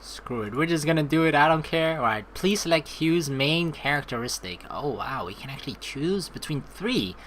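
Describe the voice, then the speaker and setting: A man's voice: a little high, expressive, normal speed, hardly any pauses, fairly bright tone, slight background noise. A man giving casual, rambling, joking commentary as he hosts a video game playthrough, swearing now and then. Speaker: let's play host. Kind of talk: casual gaming commentary with read-aloud game text. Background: background music.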